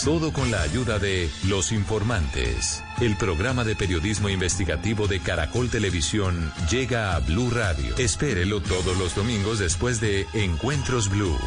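A man delivers scripted radio promos in a low, animated voice. Loud music plays right behind him.

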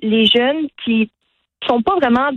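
A teenage girl with a Quebec French accent speaks as a radio interview guest, earnest and quick. Her voice sits a little high for a woman. The sound is close and dry, with a dull, muffled radio tone. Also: no room sound. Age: teens. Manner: earnest, fast-talking, frequent "là" tags.

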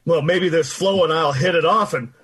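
Eager sentimental bachelor friend, old-time radio play; high-pitched, heavily rasping voice. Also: male voice, moderate pace, a bit bright. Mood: excited, wistful. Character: excitable, sentimental 1950s bachelor pal, eager to marry.

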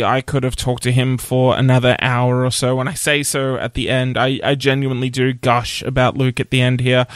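Bright-voiced podcast host. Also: male voice, medium pitch, clean tone, no echo, clean studio sound. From Australia. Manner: enthusiastic, laughs often. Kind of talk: casual interview chat.

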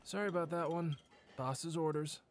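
A woman's voice: low voice, normal speed, a little hiss or hum, natural voice. A woman playing an obliging but weary cafe worker, casual and hesitant, in natural audio drama dialogue. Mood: resigned, obliging.

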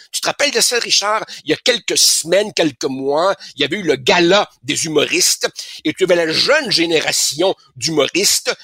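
A man in his sixties with a Québécois accent delivers opinionated commentary in a bright, forceful tone. His voice is slightly husky, and he often stumbles over repeated words before pressing his point. The sound is dry and close to the mic. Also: a little high, no room sound. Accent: Québécois French. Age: 60s. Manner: stammering repetitions, emphatic. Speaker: columnist.

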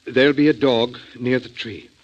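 A man's voice: medium pitch, steady tone, normal speed, close to an everyday voice. An actor playing a worried, well-spoken father, speaking formally and carefully in 1940s radio drama style. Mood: worried, measured. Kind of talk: 1940s American radio acting. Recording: dull, muffled vintage radio recording.

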